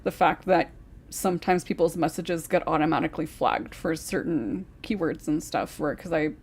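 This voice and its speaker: A woman's voice: sits low, moderate pace, a little mellow, husky. A woman who writes scripts, chatting casually on a podcast and rambling with lots of fillers.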